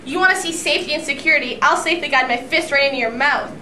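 Teen girl as bossy blunt girl, high voice, far mic. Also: very fast, roomy sound, close to an everyday voice. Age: teenager. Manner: bossy, curt and dismissive. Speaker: student actor. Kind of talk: amateur school film acting. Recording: distant camera mic with loud noise.

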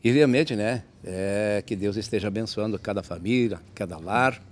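A man's voice: on the low side, normal speed. A man, hosting a TV program in a declamatory announcer style from a wooden stage at an outdoor festival camp. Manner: declamatory announcer delivery, rising to big exclamations. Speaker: TV show host. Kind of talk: enthusiastic TV presenting.

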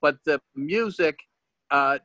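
A man's voice: on the high side, slow, bright, forceful tone, dry recording. A man chatting casually as a club member in an online meeting. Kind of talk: casual chat.

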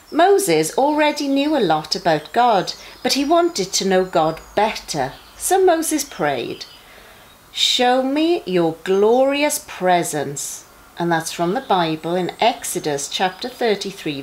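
A middle-aged woman reads children's Bible stories aloud in an expressive storytelling manner, with emphatic phrasing for young listeners. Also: medium pitch, poor audio. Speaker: bedtime story reader for a junior church.

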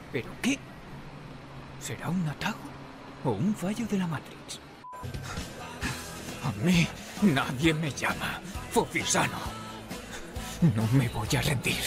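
A man with a Castilian Spanish accent doing comic game dubbing as a sarcastic, exasperated, wisecracking superhero who swears theatrically. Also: on the high side. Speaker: video creator dubbing game bugs.

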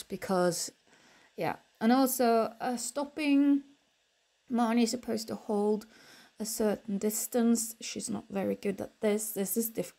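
Young woman, casual voiceover, unhurried and soft-toned, lots of ums. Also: medium pitch, vocal fry. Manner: relaxed, halting, many ums and restarts. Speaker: horse vlogger. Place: indoors, quiet room, recorded apart from the pictured arena.

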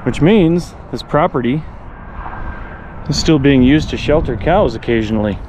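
A man's voice: expressive, moderate pace. A man musing aloud in a reflective, casual way as he explores and comments on what he sees. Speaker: vlogger and photographer exploring abandoned places. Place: abandoned farmstead, mostly outdoors beside a highway. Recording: noisy outdoor field recording, highway nearby.

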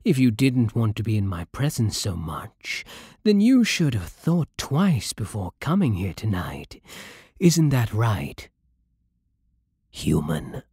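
A man plays a regal, possessive vampire king with a British accent in an ASMR roleplay. The voice is very breathy and close, in a dry recording. He speaks slowly, with haughty, seductive menace. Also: lowish voice, wildly swooping pitch, no echo, heavily acted. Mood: menacing, mocking. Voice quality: breathy, soft. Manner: slow, menacing, haughty purring.

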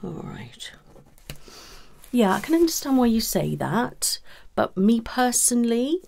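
A British woman in her thirties speaking softly and reassuringly in an ASMR consultation roleplay, close to the microphone. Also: a little high, sing-song, gravelly. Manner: reassuring, unhurried, conversational questioning. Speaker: ASMR performer playing a cosmetic surgery consultant. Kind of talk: ASMR roleplay consultation, soft-spoken with some whispering. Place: small indoor room set up as a clinic.

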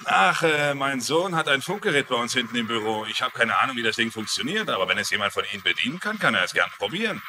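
Man acting quick-talking, helpful proprietor. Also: medium pitch, lively intonation, lightly acted. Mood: helpful, unsure. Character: hesitant, helpful male proprietor. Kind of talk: German-dubbed TV mystery acting. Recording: clearly noisy background ambience.

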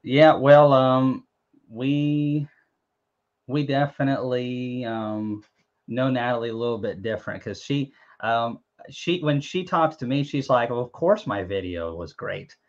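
A man's voice: mid-range voice, measured pace. Young man hosting a lo-fi video call. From Tennessee, USA. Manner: rambling, joking, many fillers and restarts. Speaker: host. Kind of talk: casual live chat. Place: small home room, indoors. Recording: dull lo-fi stream audio.

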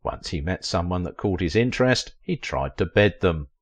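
A man's voice: low voice, animated, normal speed, slightly rough. A man reading a scripted documentary narration with dramatic storytelling and sudden emphasis.

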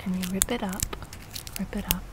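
A young woman voicing a calm, kindly witch in a soft-spoken ASMR roleplay, measured and reassuring. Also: medium pitch, heavy background noise, close to an everyday voice. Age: young adult. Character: calm, kindly witch casting a sending spell. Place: outdoor garden.

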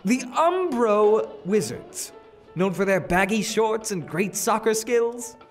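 A man's voice: sits high, lively intonation, slow pace, faint music behind. A man playing a flippant, sarcastic young wizard in a comic dub, with a sassy, dry way of talking.

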